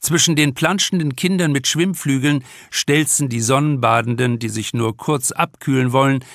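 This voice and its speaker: A man's voice: mid-range voice, a bit bright, no echo, clean studio sound. A man narrating a novel as an audiobook, reading scripted prose as its author.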